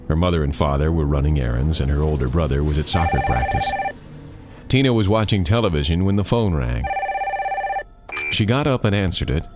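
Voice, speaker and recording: Deep-voiced man telling scary stories. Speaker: author and narrator. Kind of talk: scripted scary campfire storytelling.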